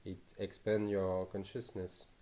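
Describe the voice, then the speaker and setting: Slow, halting man from Tahiti. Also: deep voice, little pitch movement, dark tone, poor audio. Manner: halting, searching for words. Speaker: guest. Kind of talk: casual interview.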